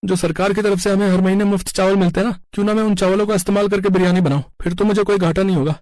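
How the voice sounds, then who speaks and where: Man acting a high, quick-talking earnest village man. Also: steady tone, a little mellow, no room sound, lightly acted. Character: earnest, proud, hard-working poor village man, father. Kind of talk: scripted cartoon story dialogue.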